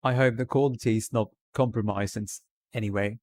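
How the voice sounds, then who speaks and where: A man's voice: fairly low pitch, fairly even intonation, fairly quick, darkish tone. A man chatting casually to viewers on a livestream in a put-on British Received Pronunciation accent, talking to them directly and often repeating himself. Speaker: live streamer.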